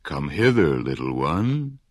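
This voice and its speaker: A man voicing a sly old crocodile who coaxes in slow, drawn-out words in a storybook reading. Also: very deep voice, very animated, a little mellow, no echo, studio quality, exaggerated voice. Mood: coaxing.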